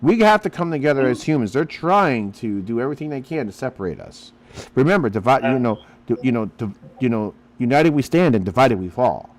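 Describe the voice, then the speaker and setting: A man's voice: medium pitch, lively intonation, fairly quick. A man from Pennsylvania hosting a radio interview, rambling and getting preachy between his questions. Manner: rambling, preachy, lots of fillers. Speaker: radio host. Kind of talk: casual interview chat.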